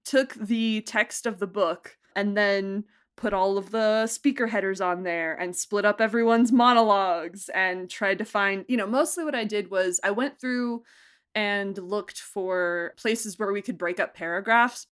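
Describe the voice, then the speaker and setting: Chatty woman in a casual interview, medium pitch. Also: measured pace, a bit bright, clean tone. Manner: chatty, self-effacing, often laughing through words. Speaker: podcast producer being interviewed.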